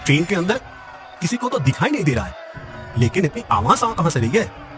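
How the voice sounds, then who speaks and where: A man voicing an uneasy, puzzled male traveller in an animated horror story, questioning and jittery. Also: fairly high pitch, fairly quick, music in the background, pitched above the performer's own voice.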